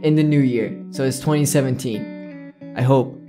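A man's voice: medium pitch, moderate pace, mellow. Young man rambling casually over guitar music. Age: young adult. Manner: rambling, repeats himself. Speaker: video creator, gaming YouTuber. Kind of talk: casual chat to camera. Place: small indoor room with plain backdrop.

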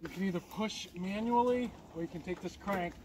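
A man's voice: sits high, moderate pace. A middle-aged man giving a casual, plain-spoken hands-on demonstration as he works outdoors, a few steps from the camera. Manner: plain-spoken, explanatory while working. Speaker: vlogger demonstrating his sawmill. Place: outdoors in a wooded clearing. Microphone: camera a few steps away. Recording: outdoor camera audio, clear background noise.

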